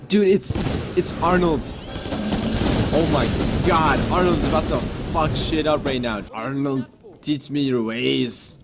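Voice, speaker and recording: Excitable, swearing gaming YouTuber man. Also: on the high side, slow pace, over loud music. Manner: excitable, profane, joking. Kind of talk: casual gameplay commentary and channel talk.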